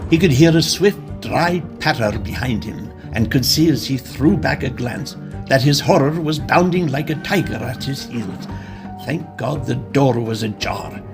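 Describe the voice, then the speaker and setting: A man narrating a gothic horror radio drama in a solemn, deliberate, suspenseful storytelling manner. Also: mid-range voice. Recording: prominent music behind the narration.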